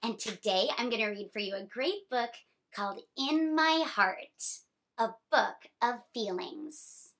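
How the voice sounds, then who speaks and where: A young woman reads aloud for children as a story time host. Her voice sits high and bright, and she takes her time with each phrase. The delivery is animated and expressive, suited to very young listeners. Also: a little slow. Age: young adult. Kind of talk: picture book read-aloud for children.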